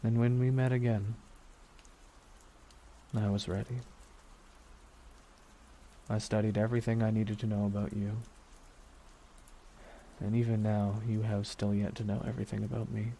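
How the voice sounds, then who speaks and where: Man acting a low, mellow, grieving supervillain. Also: gravelly, rough recording. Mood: bitter, accusing. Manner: slow brooding delivery with deliberate pauses. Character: grieving vengeful male supervillain, weary, menacing, softly bitter. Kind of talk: dramatic ASMR roleplay monologue. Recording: steady noise under voice, rain ambience.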